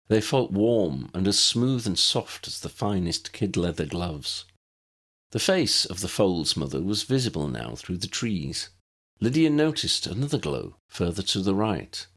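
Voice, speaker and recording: A man's voice: low voice, fairly bright tone. A man reading a story aloud as a scripted audiobook narration.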